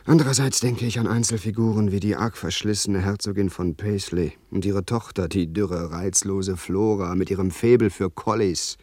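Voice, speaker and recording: Husky, fastidious young society gentleman. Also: low voice, steady tone, dry recording. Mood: peevish, mocking. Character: young upper-class Englishman, fastidious, smitten, grumbling about society. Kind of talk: German radio drama acting.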